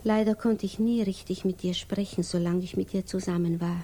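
An actress playing a lovesick, restless young widow who thinks aloud intimately in a 1950s radio drama. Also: female voice, mid-range voice, fairly even intonation, fairly quick, mellow, a little hiss or hum, close to an everyday voice. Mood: yearning. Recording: 1950s radio recording, music behind.

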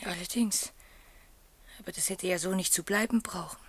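An actress playing a proud, troubled young wife in a German radio play, loyal and evasive. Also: female voice, lowish voice, moderate pace, breathy edge. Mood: defensive.

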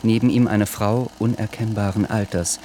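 A man narrating a radio drama in a calm, measured way. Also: lowish voice, a little hiss or hum.

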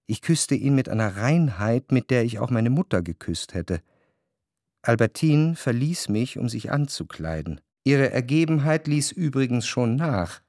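A male actor as the reflective first-person narrator of a literary radio drama, speaking in a measured way. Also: medium pitch, animated, a little mellow, no room sound, clean studio sound. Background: faint music.

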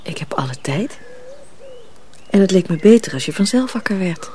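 An actress playing a devoted, tender young village girl in a radio drama, with a soft, breathy voice. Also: lowish voice, lively intonation, moderate pace, a little hiss or hum, close to an everyday voice. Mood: tender, wistful.

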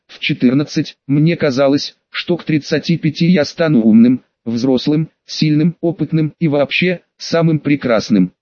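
A man reading a first-person audiobook in a measured, wry and weary way. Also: on the high side, darkish tone, dry recording, muffled recording. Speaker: audiobook narrator.

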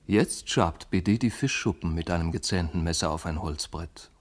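A dreamy, watchful man with a deep voice. He describes things with lyrical care in a poetic radio play. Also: normal speed. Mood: wondering.

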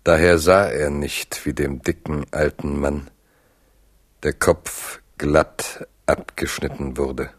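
A man narrating a literary radio drama in a measured, grave storytelling manner. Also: rumbling low, fairly even intonation.

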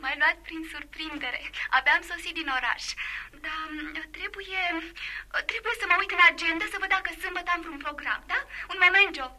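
A sheltered, easily flustered young woman in a radio drama. Her voice is extremely high and very bright, with a hesitant delivery. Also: full character voice. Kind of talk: radio theatre acting.

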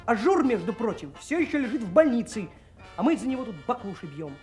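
A brash young trainee in a Soviet radio drama. His voice is high for a man, and he talks in a cocky, colloquial way. Faint music sits behind him. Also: very animated, fast, darkish tone. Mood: eager, breezy. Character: brash, self-assured young Komsomol police trainee.

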